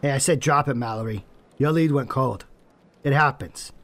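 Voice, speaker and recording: A man's voice: mid-range voice, normal speed, a bit bright, natural voice. A man playing a blunt, commanding police captain in an audio drama, with a curt, no-nonsense delivery. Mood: stern, exasperated.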